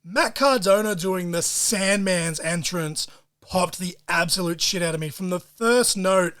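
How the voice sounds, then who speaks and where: A man hosting a solo podcast, talking in an excitable, profane way full of wrestling-fan slang. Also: high voice, a little slow. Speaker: podcast host.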